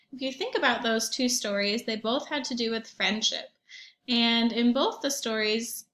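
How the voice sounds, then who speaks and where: A woman teacher reading aloud and talking to young children in an expressive storytelling manner, with questions and asides. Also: fairly high pitch, reverberant. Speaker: primary school teacher reading aloud to pupils.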